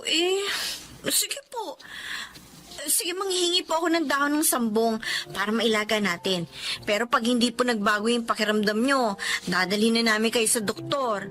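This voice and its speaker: A woman's voice: fairly high pitch, moderate pace, fairly bright tone, lightly acted. A woman playing a caring, plain-spoken daughter-in-law of a poor family in a Filipino radio drama.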